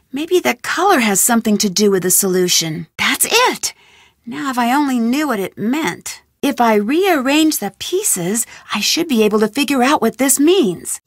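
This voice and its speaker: Curious young woman detective, game voice lines, inquisitive, thinking aloud, fairly high voice, dry close sound. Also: no echo. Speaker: game heroine.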